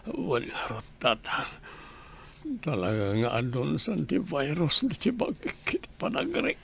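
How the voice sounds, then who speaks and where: A man playing a remorseful, sickly husband and father in a radio drama, halting and apologetic. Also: on the high side, very animated, gravelly, fairly bright tone, exaggerated voice. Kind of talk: Ilocano radio drama acting.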